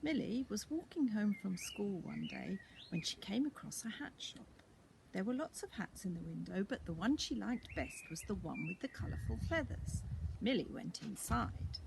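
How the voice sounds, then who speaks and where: A woman reads a children's story with very lively, swooping intonation. Her voice has a creaky edge, and there is some noise behind her on the recording. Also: mid-range voice, normal speed. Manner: animated, clearly paced narration. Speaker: storyteller reading a picture book to children. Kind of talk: expressive children's story reading.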